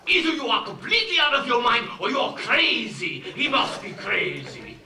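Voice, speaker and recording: Bossy old village papa in a movie musical, big swoopy exclamations, sarcastic, distant mic with music behind. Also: male voice, very high-pitched, big pitch swings, moderate pace, noisy background, heavily acted. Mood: outraged. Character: domineering old village father, exasperated yet soft-hearted. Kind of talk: musical film acting. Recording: echoey film soundtrack, distant, music behind.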